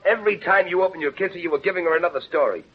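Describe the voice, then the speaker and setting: An actor playing a blunt, sarcastic, slangy sidekick in 1930s radio acting style, on a muffled old broadcast. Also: male voice, sits high, rapid-fire, slightly rough. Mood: scornful. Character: cynical, blunt, slangy wisecracking sidekick man.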